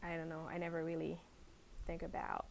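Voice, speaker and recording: A young woman from Haiti talks as a podcast guest in a gravelly, creaky voice. She speaks loosely and hesitantly, with plenty of fillers. Faint background noise sits under her voice. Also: low voice, brisk. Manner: relaxed, hesitant, many fillers. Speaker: podcast guest, fashion blogger. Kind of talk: casual interview chat.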